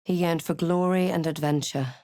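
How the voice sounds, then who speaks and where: A woman playing a snarky young female adventurer in a video game, with a measured, dry and dramatic scripted delivery. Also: on the low side, steady tone, warm tone, dry recording, natural voice. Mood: solemn narrating. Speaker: video game player-character voice actor. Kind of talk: scripted game voice lines, narration and spell incantations.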